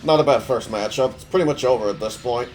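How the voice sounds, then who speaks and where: A man's voice: mid-range voice, fast talker, a little hiss or hum. Stuffy-nosed man commenting on gameplay. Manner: relaxed, offhand, thinking aloud. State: stuffy from a cold. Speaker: mobile game player.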